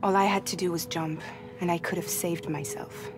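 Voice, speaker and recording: Woman acting a low-voiced defiant young heroine. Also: steady tone, moderate pace, over music, natural voice. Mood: regretful, resolute. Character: wounded, defiant, resolute young woman courier. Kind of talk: cinematic video game drama.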